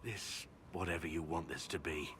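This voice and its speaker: Deep-voiced stoic, duty-bound warrior. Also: male voice, steady tone, moderate pace, background noise. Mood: restrained, pained. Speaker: soldier. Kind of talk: video game cutscene acting.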